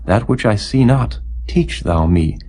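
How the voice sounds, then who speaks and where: A man with a fairly low, mellow voice reads scripture solemnly from a script. His tone is husky and soft-edged, and his delivery is formal, measured and declamatory. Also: lively intonation. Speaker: audiobook Bible reader.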